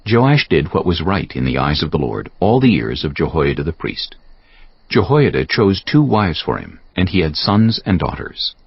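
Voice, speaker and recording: Deep gravelly man narrating scripture. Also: sing-song, normal speed, no echo. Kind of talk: dramatized audio Bible narration. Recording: dull audio with soft music behind. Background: soft background music.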